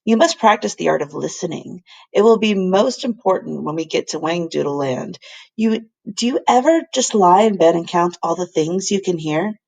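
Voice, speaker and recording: A woman's voice: lowish voice, lively intonation, moderate pace, creaky, a little mellow, rough recording. A woman voicing an enthusiastic, kindly older male professor who explains and exclaims in old-fashioned phrases. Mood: instructing.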